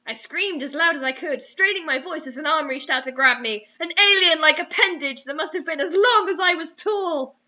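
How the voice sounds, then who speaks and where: Young woman, high voice, hamming up a dramatic read-aloud with wry asides; lo-fi webcam recording. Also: normal speed, few pauses, pushed and bright. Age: young adult. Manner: theatrical, overwrought. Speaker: co-host reading bad fiction aloud. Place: small indoor room. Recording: dull lo-fi webcam sound.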